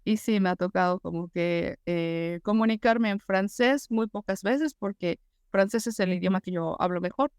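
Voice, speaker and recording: A woman's voice: medium pitch, moderate pace, darkish tone, smooth, no echo, studio quality. A woman in her thirties with a Mexican Spanish accent, a teacher answering interview questions in a chatty way, full of fillers like "o sea" and "este". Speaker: teacher and master's student, guest.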